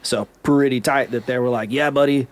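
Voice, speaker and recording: A man co-hosting a podcast, chatting in an animated, rambling way. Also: medium pitch, brisk. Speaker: podcast co-host. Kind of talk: casual podcast chat.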